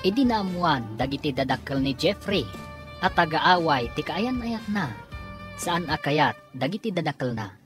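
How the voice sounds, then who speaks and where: A woman's voice: deep voice, expressive, a little slow, music in the background. A woman with an Ilocano accent narrating and announcing a scripted radio drama serial in a dramatic style.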